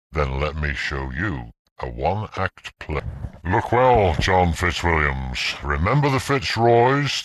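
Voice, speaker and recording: A vengeful ghost voiced by a man speaks in a husky, menacing voice for a gothic audio play. The delivery is theatrical and taunting, swinging widely in pitch, and sounds close and dry. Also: a bit bright, dry recording, exaggerated voice. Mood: mocking menace. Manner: slow, menacing, declamatory. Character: vengeful male ghost, theatrical, coldly taunting his cousin.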